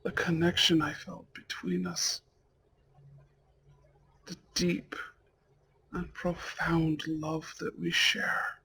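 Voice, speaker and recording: Man playing a husky, slow-spoken devoted mafia husband. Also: a little high, flat delivery, sharp tone, full character voice, low-quality recording. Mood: tender, loving. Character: devoted, tender mafia heir, newlywed husband and anxious father-to-be. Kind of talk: romantic ASMR audio roleplay.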